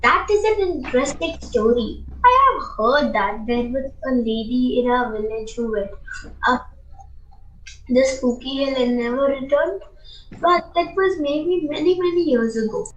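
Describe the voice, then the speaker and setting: A polite village guest, curious about a spooky house, played by a woman in a radio play. The high voice sounds echoey and distant from the microphone. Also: unhurried, dull audio. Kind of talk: amateur radio play acting.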